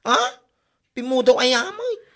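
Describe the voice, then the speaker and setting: A woman's voice: high voice, big pitch swings, a little slow, pushed and bright, full character voice. A woman with an Ilocano accent playing a curious woman who dreams of becoming a beauty queen. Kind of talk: comedy drama acting.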